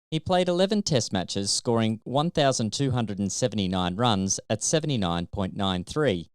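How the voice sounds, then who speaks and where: Man narrating, with lively pitch swings. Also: smooth, no echo, studio quality. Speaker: author and podcast host reading own book. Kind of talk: scripted narration of a light-hearted essay.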